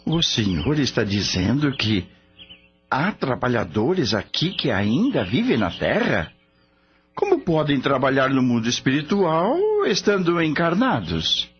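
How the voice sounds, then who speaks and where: A man's voice: mid-range voice, big pitch swings, a little slow. An actor playing a curious, naive Brazilian man full of bewildered questions in a radio drama. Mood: puzzled. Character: curious, bewildered man visiting a spirit world. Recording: dull lo-fi radio recording with music. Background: music.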